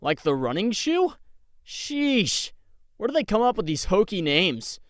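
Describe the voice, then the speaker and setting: An anxious young superhero, played by a male voice actor, boyish and earnest. His voice sits a little high, with dramatic comic dub delivery. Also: sing-song, unhurried. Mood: weary, casual.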